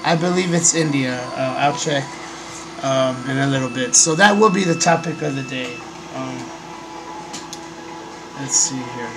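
A young man, hoarse from a cold, hosting a casual webcam vlog from a small bedroom into a desk microphone, pausing often with ums and clearing his throat. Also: medium pitch, over music. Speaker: host. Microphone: desk microphone on a stand. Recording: noisy home recording.

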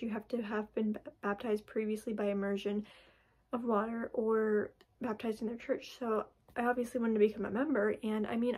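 A young woman chatting casually to camera in her bedroom, her voice a little raspy from being sick, rambling with many fillers and restarts. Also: on the high side, darkish tone. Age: young adult. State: raspy from recent illness. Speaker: vlogger. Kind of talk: casual personal vlog. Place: bedroom, indoors. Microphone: camera in front at close range.

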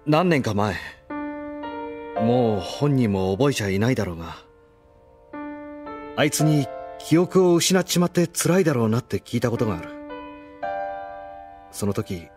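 A man's voice: mid-range voice, expressive, normal speed, long pauses, natural voice. An actor playing a loyal, sincere young man in a Japanese anime audio drama, speaking in a heartfelt way. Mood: earnest. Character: loyal, earnest young male best friend. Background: piano music.

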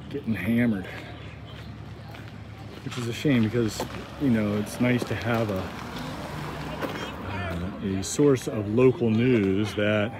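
Man, lowish voice, talks while walking small-town streets; loose, halting narration with audible street and wind noise. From United States. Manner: rambling, halting with many ums and restarts. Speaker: walking tour vlogger. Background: street ambience, passing vehicles.

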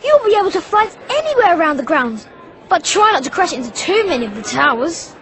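An actor playing an eager, chummy young schoolboy wizard in a video game, talking in a casual, excitable way. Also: extremely high pitch, brisk, exaggerated voice. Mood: excited. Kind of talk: scripted video game acting.

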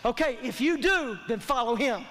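Very high-pitched preacher man in reverberant hall. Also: moderate pace, slight background noise. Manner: animated, emphatic, repetitive, colloquial, calls for audience response. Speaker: pastor. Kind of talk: sermon preaching. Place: large church auditorium stage, indoors. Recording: long hall reverb from stage sound system.

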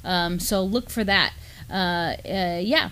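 Middle-aged American woman, halting news co-host. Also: fairly low pitch, a bit bright, clear, resonant tone. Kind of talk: casual news discussion. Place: indoor studio at a table.

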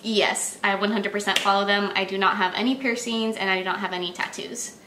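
A woman's voice: medium pitch, steady tone, fairly quick, background noise. A young woman answering interview questions on the phone in her kitchen, upbeat and chatty, with many fillers and little laughs. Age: young adult. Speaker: job applicant in a phone interview. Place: home kitchen, indoors. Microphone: far camera on a tripod while she talks on a phone. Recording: roomy kitchen sound from a distant camera.

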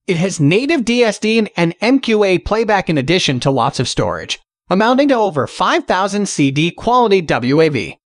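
A man narrates a scripted product review in a high-pitched voice with animated intonation. His delivery is polished and presentational, and the sound is dry and close, with no room on it. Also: no echo. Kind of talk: scripted promotional voice-over narration.

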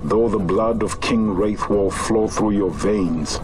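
An ancient horned beast-folk chief for a fantasy game. He speaks very slowly in a low register, grave and oracular, with archaic turns of phrase. Music plays clearly beneath him. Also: rough recording. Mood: grave, solemn. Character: solemn aged great chief of a tribe of horned beast-folk. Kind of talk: dramatic video game voice acting.

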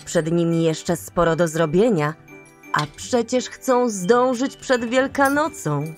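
A woman narrating a children's story in a calm, gentle tone. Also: medium pitch, slow, soft background music.

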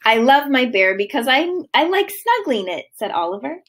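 Female teacher, thirties, highish pitch, very animated sing-song read-aloud for young kids, recorded at home. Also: moderate pace, a little hiss or hum. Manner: expressive, sing-song storytelling delivery. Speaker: kindergarten teacher. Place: living room at home, indoors.

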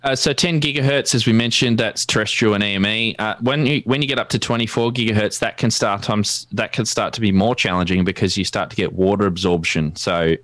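An Australian man giving an informal technical presentation in a halting, explanatory way. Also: fairly low pitch, steady tone, fairly bright tone, no room sound. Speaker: guest presenter, amateur radio enthusiast. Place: indoors at a desk, video call.

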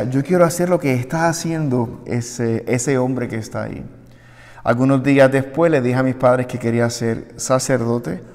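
A man's voice: mid-range voice, a little mellow. A man in his thirties with a Puerto Rican accent, a deacon speaking earnestly to a congregation in a prepared appeal. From Puerto Rico. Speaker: deacon and seminarian. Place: small wood-panelled chapel, indoors, at a lectern. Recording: long echoey reverb of a hard-walled room.

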